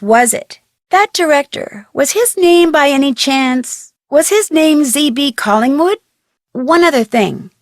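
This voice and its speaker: A young American woman playing an inquisitive, plucky amateur detective in an adventure game, speaking earnestly and expressively with clear enunciation. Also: high-pitched, no room sound, clean studio sound. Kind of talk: scripted adventure game voice acting.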